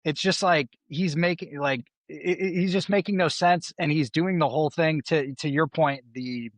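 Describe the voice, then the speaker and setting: A man's voice: a little high, moderate pace, dry recording. Young man rambling, dry sound. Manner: rambling and exasperated, full of "like" and restarts. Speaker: podcast co-host. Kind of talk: casual political chat.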